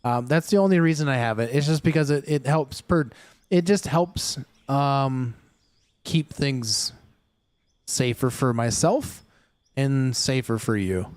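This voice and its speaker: A man's voice: medium pitch, a little slow, a little mellow, clean tone, dry recording. A man hosting a casual gaming livestream, talking to his viewers in a rambling way with many fillers and restarts. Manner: chatty, talks straight to chat viewers.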